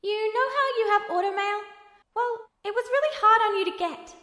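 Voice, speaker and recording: A woman voicing a gentle, earnest young boy whose soul lives in a suit of armor, speaking hesitantly in a story reading. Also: very high-pitched, brisk, reverberant, long reverb tail, voice pushed up. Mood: wistful.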